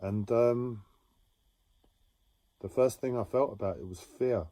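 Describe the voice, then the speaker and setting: A man talking slowly and haltingly about his own experiences in a reflective monologue, with many pauses and restarts. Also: mid-range voice, warm tone, no echo. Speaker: livestreamer sharing a personal story. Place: home.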